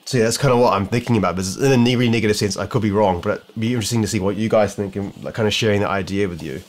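A man's voice: fairly low pitch, very fast. A young man with a New Zealand accent, an optometrist talking to the camera from his living room in a casual vlog, musing and rambling with many restarts. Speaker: optometrist vlogger. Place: home living room, indoors.

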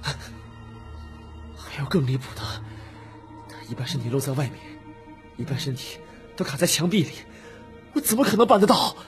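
Man playing haunted young man, audiobook drama, unstable, repetitive desperate muttering, animated pitch, music underneath. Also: moderate pace, pauses often. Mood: relieved, self-convincing. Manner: muttering to himself, erupting into repeated frantic denials. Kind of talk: audiobook character acting.

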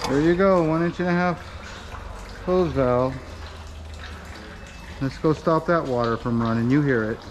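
A man's voice: on the high side, unhurried, long pauses, noisy background. A middle-aged American man narrating a casual vlog in a folksy, rambling way, as if chatting to himself and the viewer. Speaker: vlogger and tradesman.

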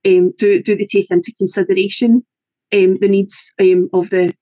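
A woman's voice: mid-range voice, flat delivery, moderate pace, warm tone, no room sound, rough recording. A woman with a Scottish accent, an English-language teacher, giving an online presentation over a video call, often hesitating with fillers and restarts. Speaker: EAL teacher.

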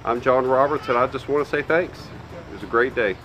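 A man's voice: medium pitch, brisk, fairly bright tone, muffled recording. A middle-aged man speaking for a charity, giving a grateful, plain-spoken thank-you speech outdoors, with some hesitations. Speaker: charity representative. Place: outdoors on a porch.

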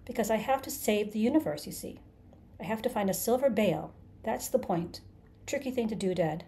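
A woman's voice: mid-range voice, brisk, dark tone, natural voice. A woman voicing a nervous, flustered man in an audiobook, anxious, apologetic and insistent. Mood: anxious pleading. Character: flustered, nervous man pleading to get away. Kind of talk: dramatized audiobook character.

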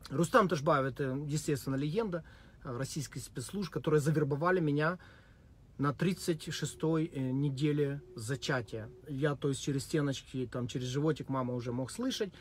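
Male vlogger, slightly high voice, dry sarcastic mock confession, faint vehicle hum. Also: moderate pace. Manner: deadpan, mock-serious, hesitant with many fillers. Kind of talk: sarcastic spoken monologue.

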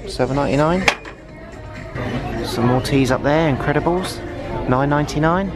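A man's voice: on the high side, moderate pace. A man hosting a casual shopping vlog, narrating from behind a handheld camera as he browses. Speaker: vlog host. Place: busy retail store indoors. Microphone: handheld camera, operator behind it. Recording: loud store music and crowd noise. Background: loud music and shopper crowd.

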